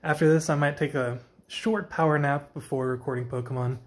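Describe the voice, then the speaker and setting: A man's voice: fairly high pitch, steady tone, a little fast. A young man chatting casually in a vlog, tired with a slightly scratchy voice, rambling and correcting himself as he goes. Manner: rambling, self-correcting, soft-spoken. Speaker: vlogger. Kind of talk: casual after-work vlog chat.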